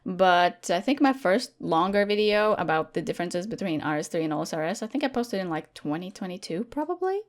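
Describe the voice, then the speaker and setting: Chatty young woman, lowish voice. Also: brisk, few pauses. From Latvia. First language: Latvian. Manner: chatty and animated, many fillers and restarts. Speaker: guest content creator. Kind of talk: casual interview chat.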